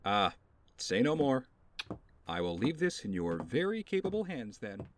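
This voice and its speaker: An actor playing a doting, easygoing father in an audio drama, with a gentle, playful, coaxing manner. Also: mid-range voice, very animated, unhurried, slight background noise. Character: doting, easygoing wealthy father cheering up his daughter.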